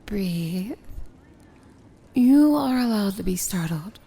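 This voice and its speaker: Woman playing a slow-spoken, regal evil princess. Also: mid-range voice, lively intonation, gravelly, dark tone. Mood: tender, earnest. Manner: measured, regal, deliberate, formal. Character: proud, dangerous evil princess, commanding yet tender. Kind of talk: ASMR fantasy romance roleplay, dramatic monologue.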